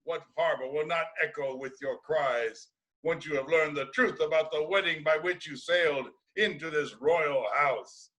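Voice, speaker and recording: A man's voice: a little high, normal speed, sharp tone, rough recording. A man playing a bitter, defiant old blind prophet in a Greek tragedy reading, with declamatory, ominous delivery.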